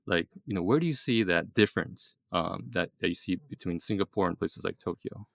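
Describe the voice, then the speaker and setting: An excitable man hosting a relaxed interview, his voice low and gravelly. He talks in bursts with plenty of fillers and restarts. The sound is close and dry. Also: a little fast, dry recording. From East Asia. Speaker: podcast host. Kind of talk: casual interview chat.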